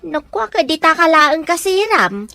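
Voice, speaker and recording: A woman's voice: very high voice, sing-song, a little slow, pushed and bright, no room sound, exaggerated voice. A woman playing a friendly, teasing shopkeeper neighbor who loves to chat. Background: background music.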